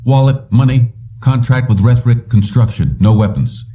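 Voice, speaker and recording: A man's voice: medium pitch, moderate pace, recorded from a distance, dull audio, pitched above the performer's own voice, close to an everyday voice. A man voicing a terse, probing male security police officer in a science fiction audiobook. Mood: interrogating.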